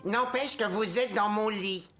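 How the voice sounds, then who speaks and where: A woman's voice: mid-range voice, measured pace, fairly bright tone, faint music behind, lightly acted. A woman voicing a grumpy, fussy little forest dweller in a French cartoon dub, objecting in a hesitant, pedantic way. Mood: indignant. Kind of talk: French-dubbed cartoon acting.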